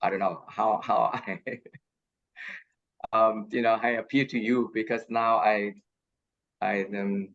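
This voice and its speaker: A man who is not a native English speaker talks slowly through a Q&A on a video call, halting with many fillers and restarts. His voice comes through a somewhat dull, muffled line. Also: lowish voice, flat delivery, creaky. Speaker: guest lecturer. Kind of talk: online lecture Q&A.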